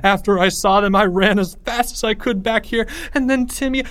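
A man voicing a terrified, scheming schoolboy in a children's story, shouting and stammering breathlessly. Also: high voice, moderate pace, clear, resonant tone, no echo, pitched above the performer's own voice. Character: frantic scheming schoolboy, theatrically terrified, spinning a tale. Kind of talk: acted dialogue in a children's story.

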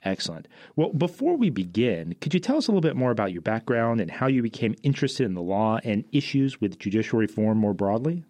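Man, American accent, interviewer, lowish pitch, affirming and polite, dry studio-like sound. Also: big pitch swings, brisk, hardly any pauses, dark tone, no room sound. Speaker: podcast host, interviewer. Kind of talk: prepared interview questions.

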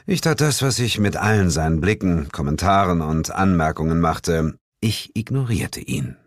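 A man's voice: deep voice, lively intonation, moderate pace, no room sound, clean studio sound. A man narrating a horror audiobook in the first person with a dramatic, scripted delivery.

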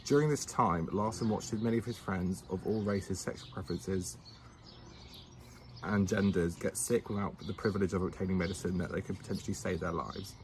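A young man with a lowish voice reads his notes aloud outdoors, tripping over words and starting again. He teases his co-host between lines. Faint birdsong drifts in behind him. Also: steady tone, fairly quick, darkish tone, a little hiss or hum. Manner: teasing, stumbles while reading, restarts words. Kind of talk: casual chat, reading notes aloud. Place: outdoors in the sun.

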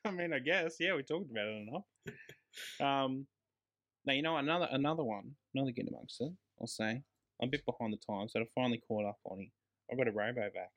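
A man's voice: medium pitch, quick pace, fairly bright tone. An Australian man co-hosting a casual film podcast, talking in a blokey, emphatic way with some swearing. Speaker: podcast co-host.

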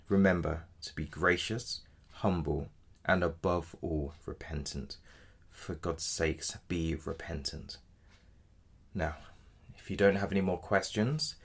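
Defence lawyer for a male actor: very low, creaky voice, measured, cautious, earnest. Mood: cautioning. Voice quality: deep, gravelly. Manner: formal, measured advocacy. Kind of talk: audio drama acting.

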